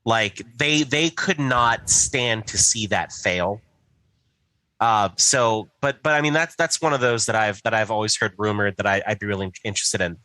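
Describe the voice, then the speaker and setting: A man with a fairly low, forceful voice in a very dry recording. He talks casually as a podcast co-host, often repeating words as he starts a thought and swearing freely. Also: lively intonation, no room sound, rough recording. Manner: halting, repetitive starts, profane. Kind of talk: casual chat.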